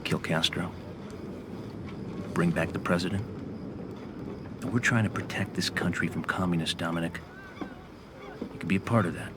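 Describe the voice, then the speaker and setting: Game role: male actor as smooth, middle-aged American government spook, lowish voice, measured and persuasive, noisy ambient background. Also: lightly acted. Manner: measured, persuasive, matter-of-fact. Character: calculating middle-aged male CIA agent, smooth schemer. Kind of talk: cinematic video game acting.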